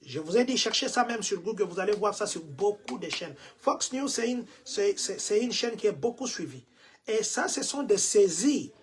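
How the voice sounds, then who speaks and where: A man's voice: high voice, lively intonation, moderate pace. A man from Congo with a Congolese French accent, giving preachy commentary to his community and repeating himself with rhetorical questions. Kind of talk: vlog commentary to the diaspora. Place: Chicago.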